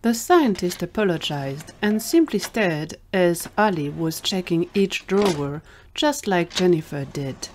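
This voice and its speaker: A woman reads scripted fiction as the narrator of an audio drama. Her voice sits a little low for a woman. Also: lively intonation, measured pace, few pauses, slight background noise.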